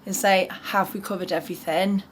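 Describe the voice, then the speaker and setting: A woman with an English accent chats warmly about the young man she works with, dotting her talk with fillers. Her voice sits at an ordinary middle pitch. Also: fairly even intonation, a little fast. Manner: warm, chatty, with fillers. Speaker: advocate. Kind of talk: interview testimony.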